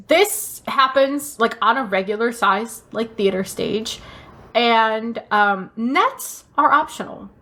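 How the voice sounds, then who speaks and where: A woman's voice: a little high, a bit bright. A woman co-hosting a podcast in casual chat, talking in an emphatic, gushing way and swearing casually. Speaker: podcast co-host. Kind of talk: casual chat, fan review.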